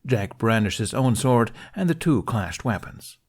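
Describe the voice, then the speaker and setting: A man's voice: mid-range voice, expressive, a little slow, a little mellow, slightly rough. A man reading a scripted story aloud as its narrator. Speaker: fan fiction podcast narrator.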